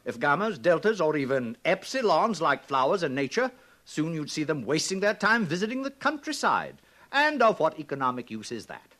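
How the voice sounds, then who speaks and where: A man acting in 1950s radio drama as a coldly smug, brisk director who lectures and commands pompously. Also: a little high, very animated, fairly bright tone. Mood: smug lecturing. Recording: vintage 1950s radio recording.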